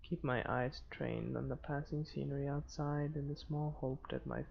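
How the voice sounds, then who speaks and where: A man hosting a gaming video, reading visual novel narration aloud in a halting way with stumbles. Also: medium pitch, fairly even intonation, gravelly. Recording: dull lo-fi microphone, faint noise.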